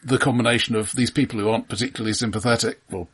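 A man co-hosting a film podcast, explaining his points in a measured, analytical way during casual discussion. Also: medium pitch, steady tone, fairly bright tone, slightly rough.

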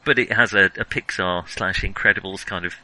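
A man's voice: sits low, a little fast, pushed and bright, poor audio. A man co-hosting a casual film discussion, musing aloud and wandering off into tangents. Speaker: podcast co-host.

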